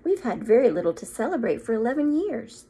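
A calm, whimsical old wizard from a storybook , read by a woman. He speaks gently and without hurry, in a lightly husky voice with lively intonation. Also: fairly high pitch, voice pushed up, heavily acted. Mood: gentle. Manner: gentle, unhurried.

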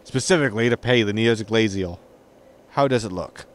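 Low-voiced, sarcastic spaceship captain played by a man. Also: moderate pace, close to an everyday voice. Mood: wry. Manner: dry, sarcastic. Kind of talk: science fiction comedy audio drama acting.

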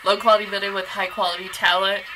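A woman's voice: mid-range voice, little pitch movement, fast, bright, forceful tone. A young adult woman in a casual, joking reaction commentary, talking to camera from a small bedroom. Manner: playful, joking, chatty. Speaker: vlogger reacting to music videos. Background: music from the clips.

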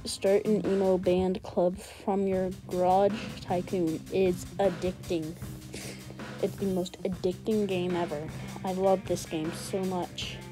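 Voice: mid-range voice, level tone, slow, over music. A gamer giving casual, slangy gameplay commentary and often repeating words and phrases. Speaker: gaming video host.

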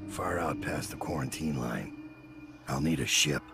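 An actor playing a middle-aged, world-weary assassin leader in a video game, grim, measured and dryly threatening, with a gravelly, very deep voice. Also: male voice, animated, in a large hall, over loud music, full character voice. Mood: brooding, hushed. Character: gravelly, world-weary, menacing master assassin leading a gang. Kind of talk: video game character acting.

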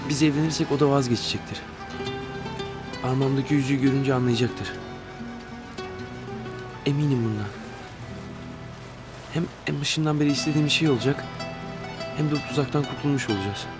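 A man's voice: mid-range voice, a little fast, long pauses, natural voice. A man playing a desperate young rich man. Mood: pleading. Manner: pleading, emotional outbursts. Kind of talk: TV drama acting. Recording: TV drama with music score.

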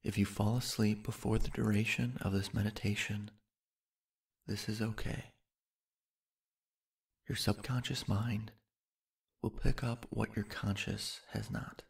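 Slow, low-voiced man with gravelly hush. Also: flat delivery. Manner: soothing, hushed, unhurried with long pauses. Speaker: guided meditation narrator. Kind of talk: sleep hypnosis guided meditation, slow scripted narration.

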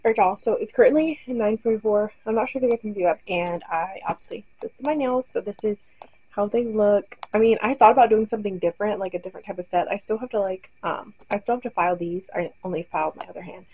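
A woman's voice: mid-range voice, fast talker, mellow, husky, muffled recording. Chatty young woman vlogging at home. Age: young adult. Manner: chatty, rambling, many fillers. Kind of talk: casual vlog talk to camera. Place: bedroom at home. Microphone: webcam or phone camera close up.

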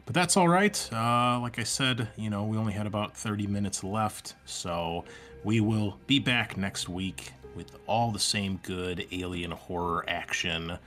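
A man's voice: fairly low pitch, a little slow, fairly bright tone, soft background music. A man hosting as game master, talking casually through a tabletop roleplaying game. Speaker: game master and channel host.

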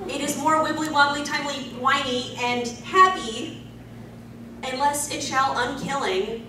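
A young woman playing an anxious, awkward anime character as a panel host, halting and giggly. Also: high voice, fairly even intonation. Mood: mock-solemn. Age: young adult. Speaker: fan convention panel host. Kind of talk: live panel word game and mock-dramatic poetry reading. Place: convention panel room, handheld mic through PA. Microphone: handheld mic over speakers, distant camera. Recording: echoey convention hall from far, noisy.

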